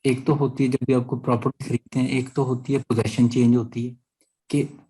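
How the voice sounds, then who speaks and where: A man's voice: medium pitch, level tone, brisk, mellow, low-quality recording. A man with a Pakistani accent teaching a law class, explaining step by step and often checking understanding. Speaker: law lecturer. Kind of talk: explanatory class lecture with student questions.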